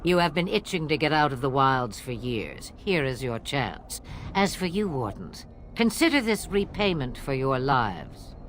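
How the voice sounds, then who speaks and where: Low-voiced, theatrical old witch. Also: big pitch swings, a little slow, slight background noise, heavily acted. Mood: commanding, sardonic. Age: older. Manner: theatrical, commanding, wry. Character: shrewd, imperious old witch mother of the wilds. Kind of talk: dramatic video game acting.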